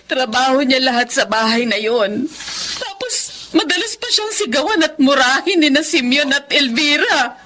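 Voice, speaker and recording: A woman's voice: high voice, sing-song, moderate pace, sharp tone, heavily acted. A woman voicing a worried poor mother who sadly tells her husband their daughter is being mistreated. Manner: anxious, sorrowful.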